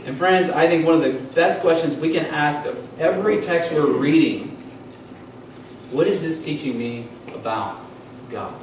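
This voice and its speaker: A man's voice: fairly high pitch, unhurried, a little hiss or hum. A man in his thirties, a pastor preaching a teaching sermon from a pulpit and asking the congregation questions. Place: church sanctuary, at a wooden pulpit. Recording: echoey church hall from far off.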